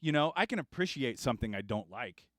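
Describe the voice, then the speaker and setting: A man hosting a casual livestream from his recording studio, talking in a relaxed, rambling way. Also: mid-range voice, fast, no echo. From Kansas. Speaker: studio owner and livestream host.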